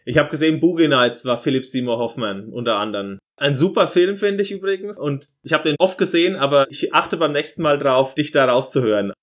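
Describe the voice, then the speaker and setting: A man's voice: a little high, lively intonation, fairly quick, few pauses. A man interviewing someone in a casual, chatty and discursive way, improvising as he goes.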